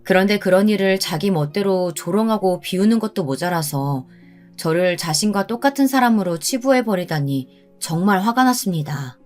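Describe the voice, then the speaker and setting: A woman's voice: mid-range voice, animated, normal speed, soft background music. A woman reading a scripted first-person story as its narrator.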